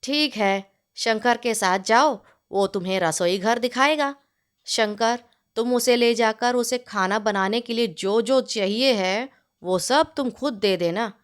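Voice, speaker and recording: A woman plays a village landlord from a storybook tale, a man who loves his food and bosses everyone around. He speaks in slow, measured phrases with a demanding, curt edge. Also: fairly high pitch, smooth, pitched below the performer's own voice. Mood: curt, commanding. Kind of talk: storybook character voice in narrated tale.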